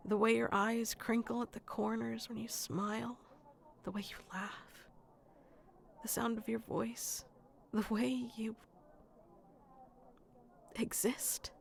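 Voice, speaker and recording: Woman acting a slow, shy lovestruck florist. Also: medium pitch, vocal fry, a little hiss or hum. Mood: tender, confessing. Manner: hesitant, halting, nervous stammering. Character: shy, sweet, lovestruck florist confessing to best friend. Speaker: ASMR roleplay voice actor. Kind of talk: ASMR romantic roleplay acting.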